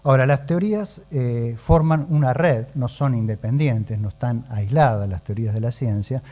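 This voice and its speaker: A man with an Argentine Spanish accent giving a formal academic talk at a round table, methodical and measured, pausing often with "eh". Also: medium pitch, mellow. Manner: measured, methodical, frequent "eh" pauses.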